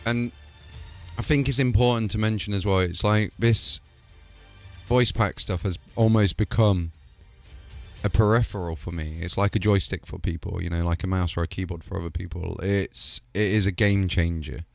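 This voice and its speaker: A man's voice: sits low, fairly even intonation, moderate pace, creaky, soft background music. A man hosting a gaming channel, chatting casually and enthusiastically about game add-ons before a promotional wrap-up. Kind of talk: casual showcase chat and promo.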